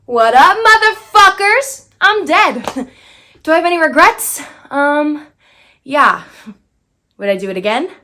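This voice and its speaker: A woman's voice: high voice, sing-song, takes it slowly, long pauses, bright, forceful tone, exaggerated voice. A young woman performing a bitter, brash dead girl in a theatrical monologue, sardonic and emphatic. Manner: sardonic, emphatic, swinging into outbursts.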